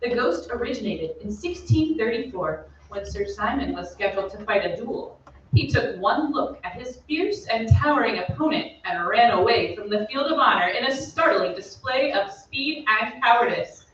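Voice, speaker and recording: A woman's voice: a little high, animated, moderate pace, a bit bright. A woman reading scripted storytelling narration for an old-time style radio play. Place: theatre stage. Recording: echoey stage, distant mic, dull, faint noise.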